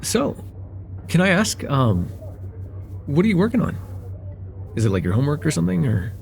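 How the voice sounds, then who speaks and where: A man's voice: a little high, huge pitch swings, normal speed, vocal fry, soft background music, full character voice. A man playing a friendly, chatty young guy at a cafe in a casual, slightly hesitant conversational ASMR roleplay. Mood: friendly, curious.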